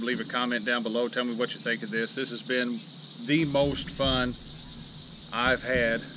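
A folksy man talks to a boat-mounted camera at dusk, winded and excited after a fight with a fish. His voice sits at an ordinary middle pitch and he rambles with plenty of filler words. Heavy wind and lapping water roar over the open-air recording. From Illinois, USA. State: out of breath. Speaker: fishing channel host. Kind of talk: casual outdoor vlog talk. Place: small boat on a lake outdoors at dusk. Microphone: action camera mounted in the boat. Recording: loud wind and water noise outdoors.

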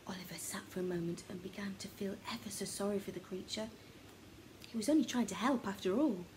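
A woman reading a children's story as narrator, expressive and dramatic, sometimes dropping to a hush. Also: mid-range voice, brisk, slight background noise, poor audio. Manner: expressive, dramatic, hushed at times. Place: room at home, indoors.

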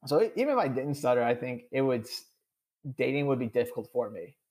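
A man's voice: medium pitch, big pitch swings, fast talker, darkish tone. A young man with a strong stutter, answering podcast interview questions candidly, with many repeated sounds and long strings of "uh". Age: young adult. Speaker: podcast guest.

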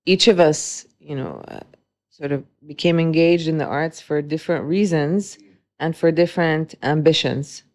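This is a woman in her forties with a notably low voice, speaking as an interview guest. She talks thoughtfully, pausing and restarting as she searches for words. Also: fairly even intonation, darkish tone. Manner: reflective, with frequent hesitations and restarts. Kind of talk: conversational interview.

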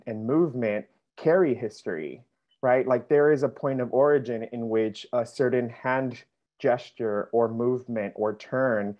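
A man on a slightly muffled video call speaks with earnest reflection, often doubling back on words while he thinks. He grew up in Mexico, and his voice sits at a medium pitch. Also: a little mellow. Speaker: interviewee, dancer. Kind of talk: reflective panel discussion.

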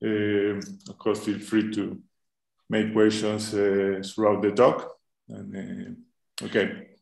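A man's voice: on the low side, monotone, takes it slowly, gravelly, a little mellow, reverberant. A man with an Argentinian accent giving a math talk over a video call, hesitant and full of fillers. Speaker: invited math speaker. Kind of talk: academic lecture on video call.

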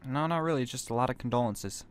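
A man's voice: mid-range voice, fast, clean tone, no room sound, natural voice. A man playing a laid-back but touchy young hellhound student, speaking in a slangy, offhand way in a game roleplay. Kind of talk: casual scripted Minecraft roleplay.

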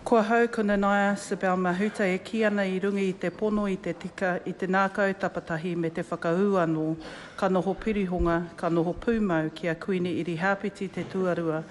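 A woman's voice: on the low side, fairly even intonation, a little fast, hardly any pauses. A woman, a member of parliament reciting a formal oath solemnly and steadily in a large chamber. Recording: reverberant chamber, faint hum.

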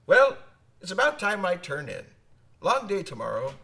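A hearty old sailor in a radio play, forever spinning yarns. His voice is bright and gravelly, swinging widely in pitch. He talks like an old salt, recorded a little away from the mic. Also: huge pitch swings, normal speed, recorded from a distance, heavily acted. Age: older. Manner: nautical old-salt talk, calls listener laddie. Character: talkative old sailor, hearty teller of sea tales. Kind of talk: radio drama acting.

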